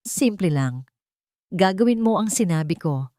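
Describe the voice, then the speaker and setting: Audio-drama villainess: cold, calculating young woman, read by a female storyteller, lowish voice, dry close sound. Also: lively intonation, measured pace, warm tone, no room sound. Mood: cold, coaxing menace. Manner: icy, calculating, menacing. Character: cold, scheming young woman blackmailing her former lover.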